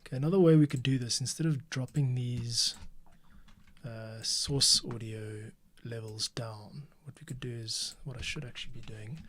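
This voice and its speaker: A man's voice: medium pitch, normal speed. A man hosting and editing a podcast, thinking aloud in casual commentary that drops into muttered asides. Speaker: podcast host and editor. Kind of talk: casual screen-recording commentary. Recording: faint music and keyboard typing behind. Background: faint music, computer keyboard typing.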